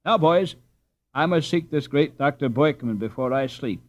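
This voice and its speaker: A man's voice: a little high, animated, moderate pace, close to an everyday voice. A man voicing a confident boy captain in a storybook, polite but commanding among his friends.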